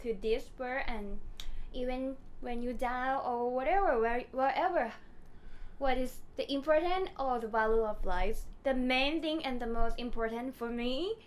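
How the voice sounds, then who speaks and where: A woman's voice: high-pitched, a little slow, a little hiss or hum. A woman from Thailand whose first language is Thai, a guest on a casual podcast, speaking playful English with non-native grammar. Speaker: guest co-host.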